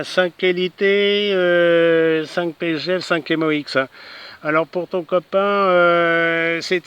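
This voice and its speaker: Higher-pitched man chatting as radio ham. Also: fairly bright tone, smooth. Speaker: amateur radio operator and video host. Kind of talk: casual ham radio contact chat.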